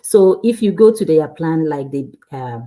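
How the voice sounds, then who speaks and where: A woman from Ghana, a guest on an online interview, speaking in a relaxed way, often laughing and repeating herself. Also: deep voice, measured pace. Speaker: guest, cardiac sonographer. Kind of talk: casual interview.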